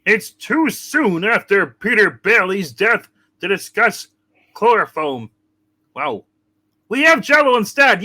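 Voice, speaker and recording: A woman with a high, bright voice takes part in a playful script reading. Her pitch jumps around in an animated way, and she keeps interjecting with jokes. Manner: interjecting, joking. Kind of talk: playful hammed-up play reading with ad-libbed asides.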